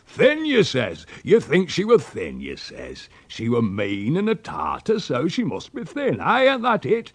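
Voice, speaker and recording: A man voicing a wicked, droll old country uncle with a rural English accent, gruff and fruity, spinning tall tales in a storybook reading. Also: mid-range voice, wildly swooping pitch, fairly bright tone, no room sound, exaggerated voice. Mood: triumphant, scornful. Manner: sly, drawn-out dialect storytelling.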